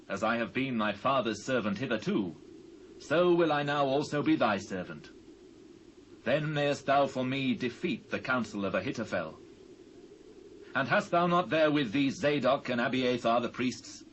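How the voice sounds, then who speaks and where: A British man playing a grave, devout fugitive king in a dramatised scripture, speaking solemn, measured archaic English. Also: mid-range voice, fairly bright tone, low-quality recording. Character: King David, grave sorrowful fugitive monarch, commanding and devout. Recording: faint hiss and ambience, old cassette.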